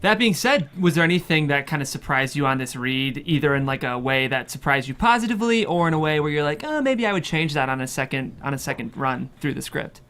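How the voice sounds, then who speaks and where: Higher, bright-voiced male host. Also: lively intonation, fast, hardly any pauses. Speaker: show host interviewing guests. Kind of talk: casual interview chat.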